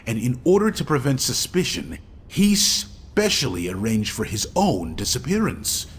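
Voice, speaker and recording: An actor playing an earnest, mysterious member of a secret order in a game cutscene, speaking in a measured, formal way. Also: male voice, mid-range voice, slight background noise. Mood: brisk, instructing. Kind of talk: video game cutscene acting.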